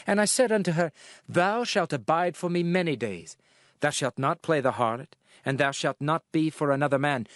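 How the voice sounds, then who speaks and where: A man's voice: mid-range voice, no echo, clean studio sound. A man narrating scripture in a dramatized style, with a solemn, declamatory delivery as a prophet. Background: music.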